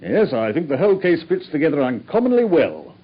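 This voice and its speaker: An actor playing a self-satisfied male police inspector in a radio drama, smug and husky. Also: fairly high pitch, very animated, brisk. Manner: smug, pleased with himself. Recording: dull old radio recording.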